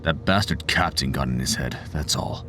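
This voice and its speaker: A low-voiced, embittered, domineering father. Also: normal speed, sharp tone, faint music behind, full character voice. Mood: bitter, brooding. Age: middle-aged. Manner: brooding, clipped, authoritarian. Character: stern, embittered, domineering father and political activist. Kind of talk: scripted audio drama acting.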